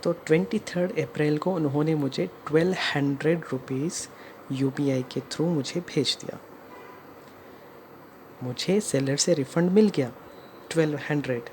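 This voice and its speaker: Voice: medium pitch, unhurried, a little mellow. An Indian vlogger explaining a personal customer complaint in narration that mixes in English phrases. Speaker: customer narrating own complaint as video creator. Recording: clear background noise.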